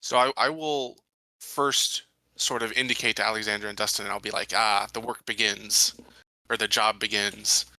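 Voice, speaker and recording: Male tabletop player, lowish pitch, very sharp forceful tone, dry sound, deliberate formal phrasing. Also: fairly even intonation, gravelly, no echo, low-quality recording. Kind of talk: in-game roleplay discussion.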